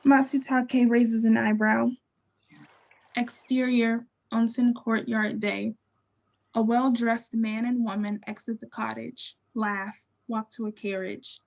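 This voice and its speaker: A woman narrating stage directions from a script in a measured, neutral, descriptive way. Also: a little high, little pitch movement, gravelly, muffled recording.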